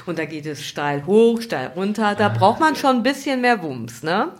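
A woman's voice: medium pitch, big pitch swings, normal speed. A woman hosting a podcast, interviewing in a casual conversational way. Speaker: podcast host.

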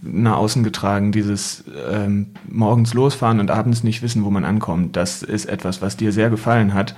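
A man's voice: on the low side, fairly even intonation, normal speed, darkish tone. A young man recalling family travels as a podcast guest in a casual, reflective way.

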